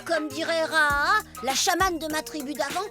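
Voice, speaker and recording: A woman's voice: high voice, normal speed, lightly acted. A woman playing a brave, proud prehistoric boy hunter in a cartoon, with an exclamatory, boastful way of talking. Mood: proud, resolute. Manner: exclamatory, boastful, uses quaint caveman oaths. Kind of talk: animated cartoon dialogue. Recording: prominent music under the dialogue.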